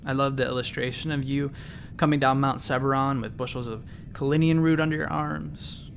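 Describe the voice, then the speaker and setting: A man's voice: medium pitch, steady tone, brisk, vocal fry, slight background noise, close to an everyday voice. An actor playing an earnest, eager doctor in his thirties, patient but a little awkward, in a sci-fi comedy audio drama. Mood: earnest, admiring. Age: 30s. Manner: sincere, patient, slightly awkward. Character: earnest, eager thirty-four-year-old doctor, easily flustered.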